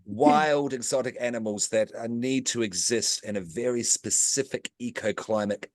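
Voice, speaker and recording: A man's voice: mid-range voice, moderate pace, no echo. A man hosting a podcast, recapping a movie plot in casual chat with playful, jokey asides. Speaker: podcast host.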